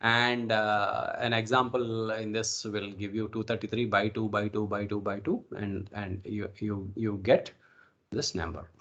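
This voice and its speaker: A man's voice: lowish voice, fairly even intonation, measured pace, a bit bright, dull audio. A man with an Indian accent giving an informal lecture as an instructor on an online call, often checking in with okay and right. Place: online video call recording.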